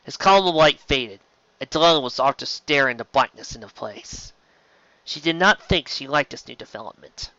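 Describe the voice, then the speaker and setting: A man's voice: medium pitch, lively intonation, normal speed, bright, forceful tone, dry recording, lo-fi sound. A man reading science fiction aloud as an amateur audiobook narrator, in a dramatic storytelling style.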